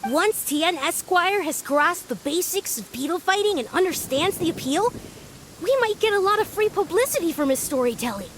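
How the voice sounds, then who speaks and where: A young game character with a high voice. She sounds composed and knowing, explaining things in a matter-of-fact, instructive manner, over faint background noise. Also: animated, normal speed. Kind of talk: video game voice acting.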